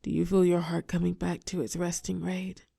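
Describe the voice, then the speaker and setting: Woman playing a protective telepath villainess, audio drama, low-pitched, breathy to whispering, very dry close sound, commanding yet tender. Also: little pitch movement, moderate pace, warm tone, no room sound, full character voice. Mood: tender, soothing. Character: telepathic female villain, former hero, protective, soothing yet sharp-tongued. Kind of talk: scripted roleplay audio drama.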